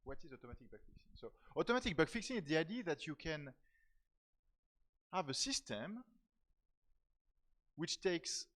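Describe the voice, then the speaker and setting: A man from France, a professor of software technology, giving a conference talk with many fillers and repeated words. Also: a little high, big pitch swings, moderate pace, pauses often, poor audio. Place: conference hall.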